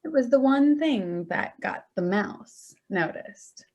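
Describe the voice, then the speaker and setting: Actress as a sardonic adult daughter, wry, even-voiced. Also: female voice, fairly high pitch, slow, dull audio. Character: Danielle, wry grown daughter and writer. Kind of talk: staged play reading.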